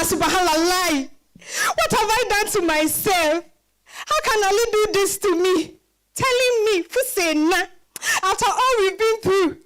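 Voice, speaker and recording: High-pitched anguished West African wife. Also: female voice, a bit bright, rough recording. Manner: emotional, exclamatory, pleading. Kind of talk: dramatized novel reading.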